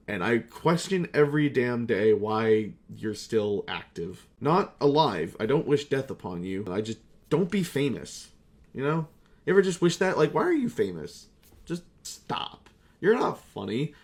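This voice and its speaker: A man's voice: mid-range voice, a little mellow. Candid, sweary young man telling stories. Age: young adult. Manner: candid, profane, self-deprecating, sarcastic. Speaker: YouTuber and fan-film creator. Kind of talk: casual rambling storytelling to viewers.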